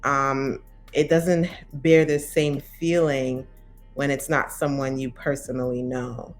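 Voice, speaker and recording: Slow, low-voiced woman in her thirties. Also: flat delivery, soft background music. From Brooklyn, by way of Saint Vincent. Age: 30s. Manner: thoughtful, with many pauses and fillers. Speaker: interviewee. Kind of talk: reflective interview.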